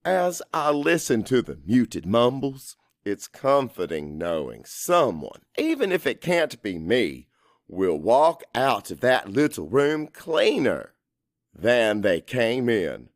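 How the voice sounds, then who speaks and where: A man's voice: medium pitch, very animated, slow, no room sound, clean studio sound. A man narrating first-person dramatic fiction in a brooding, confessional manner. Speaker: audiobook narrator.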